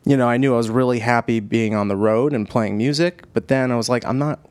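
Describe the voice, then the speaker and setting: A man hosting a podcast, chatting casually in an easygoing, halting way. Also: mid-range voice, fairly even intonation, a little mellow, no echo. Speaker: podcast host, musician.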